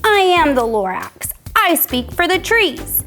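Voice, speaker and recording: Lady doing a bossy old little forest critter, sharp and high, storybook style, music behind. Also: big pitch swings, a little slow, heavily acted. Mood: indignant. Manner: bossy, indignant, exclaiming. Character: bossy, excitable oldish little forest creature.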